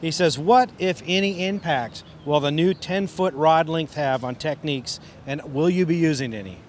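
A man with a somewhat high voice asks questions outdoors, laid-back and joking. He holds a handheld mic. A faint outdoor hum sits underneath. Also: moderate pace. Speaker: interviewer. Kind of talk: casual outdoor interview. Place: outdoors at a boat launch area.

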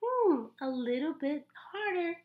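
A young adult woman reading a picture book aloud to small children in an animated, exaggerated, encouraging way, with pauses for them to join in. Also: high voice, narrow, tinny audio. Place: small indoor room.

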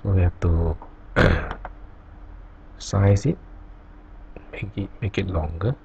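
A man teaching programming over a screen recording, explaining each step slowly with pauses. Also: low voice, gravelly, a little mellow, slight background noise. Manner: slow, halting explanation with pauses. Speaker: programming tutor. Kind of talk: screen-recorded coding tutorial. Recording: dull lo-fi recording.

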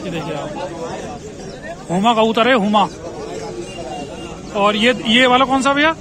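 A man with a Western Uttar Pradesh Hindi accent vlogging from an outdoor pigeon market, with brisk promotional patter that keeps urging viewers on. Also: sits high, lively intonation. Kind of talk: walking market vlog, talking to sellers and viewers. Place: busy outdoor street market. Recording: noisy outdoor market crowd. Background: crowd chatter and traffic.

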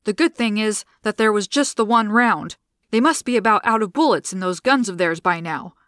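A woman's voice: mid-range voice, normal speed, a bit bright, dry recording, studio quality, lightly acted. A woman voicing a skinny, fretful older male scientist with a mournful, fussy way of talking.

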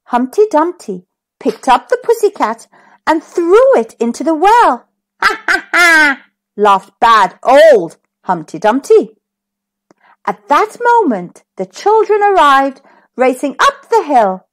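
A woman reading a children's story aloud expressively, as the host of a storytime. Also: high voice. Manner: animated, deliberate.

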